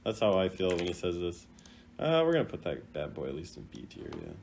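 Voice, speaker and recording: Male video host, casual and opinionated, lower-pitched with lively intonation; slight background noise. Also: brisk, vocal fry. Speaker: video host rating game voice lines. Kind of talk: casual off-the-cuff commentary.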